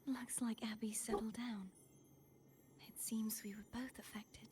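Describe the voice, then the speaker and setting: An actress playing a gentle, sorrowful young woman in a game, speaking earnestly in soft, breathy, measured tones. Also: on the high side, flat delivery. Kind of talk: dramatic game voice acting. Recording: music behind the voice.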